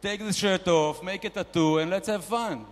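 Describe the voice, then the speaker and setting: Man doing a loud, pushy showman investor shark, fairly high voice, echoing through a big hall. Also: normal speed, lightly acted. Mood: boastful. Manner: loud, bossy, mock-grand sales pitching. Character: brash boastful male investor "shark", showy and pushy. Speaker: comedian performing at a panel. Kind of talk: stand-up character bit. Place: large conference hall. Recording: reverberant conference hall.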